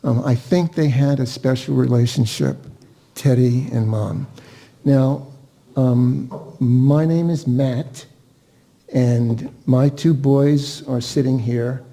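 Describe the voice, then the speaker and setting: An older man giving an informal, personal eulogy, reflective and halting, with pauses. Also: medium pitch, steady tone, dark tone. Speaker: family member giving a eulogy. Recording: spoken at a funeral service.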